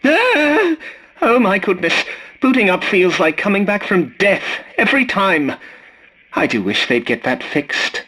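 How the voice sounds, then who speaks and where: A fussy, swooping robot butler. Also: male voice, high-pitched, sing-song, measured pace, pushed and bright, heavily acted, poor audio. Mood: flustered, prim. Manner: prim, formal, dryly sarcastic. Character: fussy, polite, snarky robot scavenger butler. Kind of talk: scripted video game character lines.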